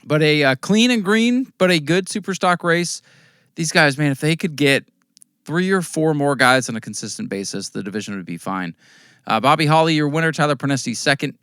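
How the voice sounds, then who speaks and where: An American man with a voice worn hoarse, casually recapping local short-track races as a podcast host, rambling through results with fillers. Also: medium pitch, a bit bright, no room sound, clean studio sound. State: losing his voice, coughing at times. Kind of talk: casual race recap talk.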